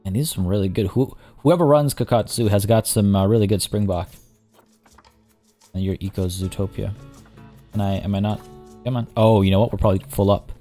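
A hoarse young man casually commenting as he plays a zoo-building game, chatty and rambling. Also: fairly low pitch, a little fast, dark tone, soft background music. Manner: rambling, chatty, many restarts and fillers. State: hoarse, losing his voice. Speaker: let's play host.

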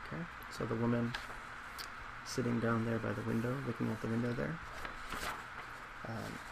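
Guy in his 20s doing soft asmr psychologist roleplay, super gravelly fry, loud laptop fan whirring. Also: mid-range voice, little pitch movement, normal speed, heavy background noise. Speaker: psychologist in a role play. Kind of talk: soft-spoken ASMR role play. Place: small office, indoors.

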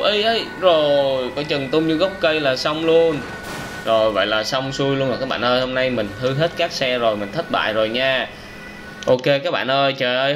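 A young man hosting a channel, giving lively game reaction commentary for kids, exclaiming often and talking straight to viewers. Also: medium pitch, slight background noise. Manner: excitable, frequent wow exclamations, addresses viewers directly. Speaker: channel host.

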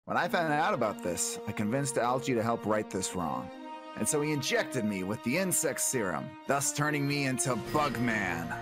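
A man's voice: medium pitch, sing-song, normal speed, over music, lightly acted. A man voicing a sarcastic, defensive young insect superhero in a cartoon comic. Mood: sarcastic, explanatory. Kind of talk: animated comic dialogue.

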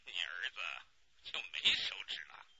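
An actor playing a menacing, taunting kidnapper in a Chinese radio drama, with theatrical delivery. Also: male voice, low-pitched, lively intonation, normal speed, creaky, pushed and bright, lo-fi sound, a little hiss or hum, full character voice. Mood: mocking menace. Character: menacing mocking male kidnapper, later brisk accomplice.

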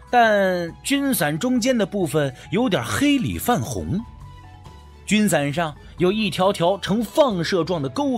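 A man narrating a first-person story as an audiobook narrator, in a dramatic storytelling style. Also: sits high.

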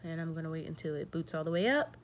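A woman's voice: low voice, animated, quick pace, slight background noise. A young woman hosting a casual step-by-step tech tutorial, thinking aloud and reading prompts in an informal way.

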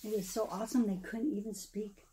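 A middle-aged woman reads a rhyming children's story aloud in an expressive, dramatic manner, pausing for emphasis. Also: mid-range voice, a little hiss or hum. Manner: dramatic, emphatic phrasing with pauses, explaining asides to children. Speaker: pastor reading a children's story.

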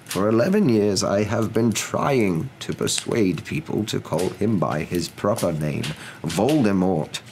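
A man voicing a gentle, calm old wizard with a courteous, unhurried and whimsical way of talking, in a storybook reading. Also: fairly low pitch, pitched above the performer's own voice. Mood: earnest, calm. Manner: unhurried, courteous, mildly amused. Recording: clear background noise.

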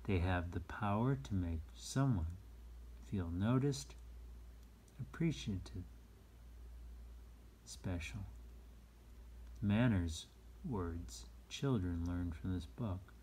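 A man's voice: low-pitched, takes it slowly, long pauses, darkish tone, husky, poor audio. A man reading a picture book aloud to young children in simple, encouraging phrases. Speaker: read-aloud storyteller for children.

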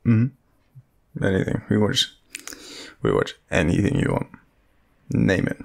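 An Australian man playing a shy, easygoing young man smitten with his friend, speaking haltingly in an intimate audio roleplay. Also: low-pitched, big pitch swings, creaky, a little mellow, full character voice. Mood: bashful, relaxed. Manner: hesitant, halting, chuckling, stammering.

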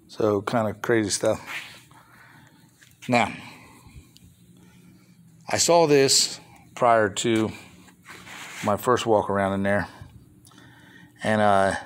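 A man filming his toy finds on a phone and chatting about them casually, rambling with mild grumbling asides. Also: lowish voice. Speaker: toy reseller showing flea market finds. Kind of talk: casual off-camera show-and-tell vlog. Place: indoors at a table of toys. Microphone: handheld phone camera.

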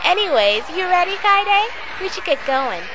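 A woman's voice: extremely high pitch, very animated, moderate pace, very noisy. A woman voicing a brash, teasing older schoolgirl with a breezy manner in an anime fan dub. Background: background music.

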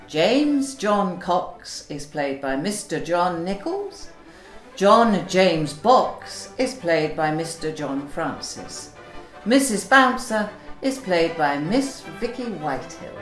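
A woman's voice: lowish voice, very animated, takes it slowly, echoey room. A woman giving a formal scripted introduction as announcer. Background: music.

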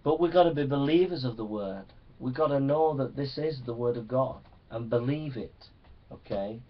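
A man's voice: medium pitch, normal speed. A man preaching a Bible teaching sermon to young people in an earnest, exhorting manner, often checking for agreement. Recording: distant microphone, slightly dull sound.

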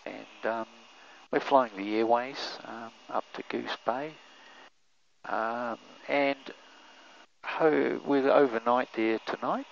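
An older man, a pilot giving relaxed cockpit commentary through a headset mic, with many fillers. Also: medium pitch, takes it slowly, creaky, slight background noise. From New Zealand. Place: small aircraft cockpit in flight. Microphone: aviation headset boom mic. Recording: muffled intercom audio with engine drone.

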